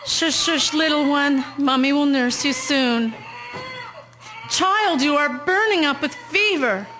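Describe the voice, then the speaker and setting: A woman's voice: sits high, slow pace, over music, rough recording. A woman acting an earnest, emotional young wife and mother in a historical audio drama. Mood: anxious, urgent. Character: anxious, pleading young wife and devoted mother, 1910s.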